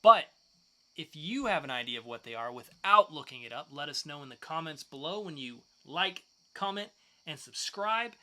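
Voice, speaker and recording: Bright-voiced man co-hosting a podcast. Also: a little high, animated, normal speed. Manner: casual, swears freely. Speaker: podcast co-host. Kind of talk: casual banter.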